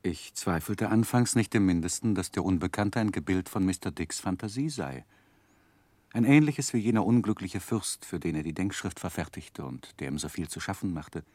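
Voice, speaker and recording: A man acting as a reflective narrator recalling his boyhood in a radio play, with measured, expressive literary storytelling. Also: sits low, dry recording. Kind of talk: scripted narration, 1960s German radio play.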